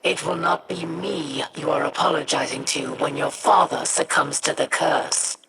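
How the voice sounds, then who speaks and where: An actress playing a stern, proud alien warrior in a video game, speaking formally with gravelly, cutting deliberation. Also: female voice, sits low, fairly even intonation, normal speed, heavy background noise. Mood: stern, reproachful. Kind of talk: video game cutscene acting. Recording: loud music underneath.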